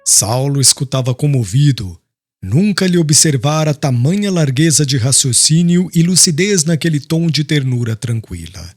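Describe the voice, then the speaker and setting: A man's voice: fairly high pitch, expressive, moderate pace, a little mellow, dry recording, faint music behind. A man narrating a literary audiobook in a scripted storytelling style.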